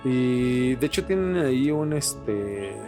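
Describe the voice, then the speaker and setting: A man's voice: medium pitch, expressive, slow pace, creaky, music in the background. A man with a Mexican accent talking casually on a podcast, informal, slangy and opinionated. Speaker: podcast co-host.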